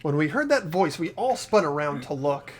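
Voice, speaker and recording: A man playing an earnest, uneasy high school boy in a fan-dubbed visual novel. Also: sing-song, moderate pace. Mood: tense narrating.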